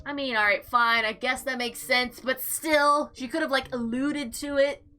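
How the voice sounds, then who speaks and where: High, bright-voiced woman gamer, very animated. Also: moderate pace, clear, resonant tone. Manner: animated, exclaiming, slangy commentary. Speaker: gaming video host. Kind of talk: visual novel playthrough, reading text aloud with excited reactions. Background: music.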